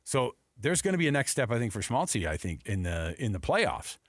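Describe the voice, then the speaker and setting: A man's voice: mid-range voice, very animated, fairly quick, dry recording. An American man hosting a sports radio show, talking casually and conversationally into a close studio mic. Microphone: close studio broadcast microphone.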